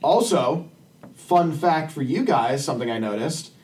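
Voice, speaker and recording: A man's voice: fairly high pitch, lively intonation, a little slow, darkish tone. Man at a distance from the mic. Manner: informal, profane, rambling. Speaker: podcast panelist. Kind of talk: casual film discussion. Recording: distant from the microphone.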